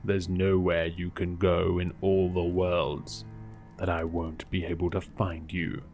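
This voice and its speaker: A man voicing a mocking, menacing villain in a jack-o'-lantern mask, speaking low, slow and sneering. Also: completely flat delivery, darkish tone, soft background music.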